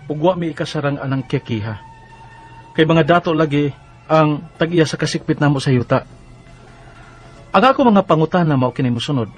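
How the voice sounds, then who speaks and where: A Filipino actor with a Visayan accent playing an indignant, protective grown son from a poor family in a radio drama. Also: male voice, a little high, animated, fairly quick, long pauses, slightly rough. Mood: sorrowful, aggrieved. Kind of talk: Bisaya radio drama acting and narration. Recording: radio drama with faint music behind. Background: faint music.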